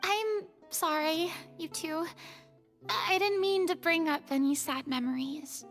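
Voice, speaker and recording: A woman voicing a tiny, excitable, earnest childlike fairy companion in a video game. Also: slow, exaggerated voice. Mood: apologetic. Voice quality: squeaky, childlike. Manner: refers to herself in third person. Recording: reverberant game audio over music.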